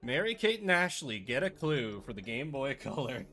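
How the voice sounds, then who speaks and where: A man chats on his live stream in a lively, bouncing voice that is a little rough and scratchy today. He talks in a playful, sarcastic way. Faint music plays behind him. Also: medium pitch, moderate pace. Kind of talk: casual live-stream chat.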